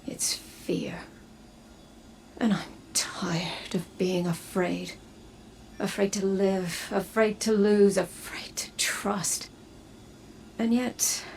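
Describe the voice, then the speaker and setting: A haunted, weary wanderer through time speaks slowly and softly, her voice edged with a gravelly fry,. Faint hiss lies beneath the game dialogue. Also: medium pitch. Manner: soft, hushed, reflective. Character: weary time-traveling woman, haunted and tender.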